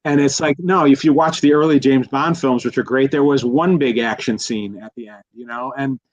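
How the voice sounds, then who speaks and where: Brief: man, middle pitch, rambling podcast guest, slightly dull lo-fi sound. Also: moderate pace. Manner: rambling, many restarts and fillers. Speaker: guest commentator. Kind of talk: casual podcast discussion.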